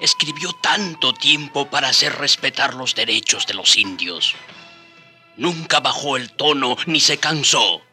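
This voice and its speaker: A man narrating a historical radio drama with expressive, solemn, dramatic storytelling. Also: medium pitch, a little slow, soft background music.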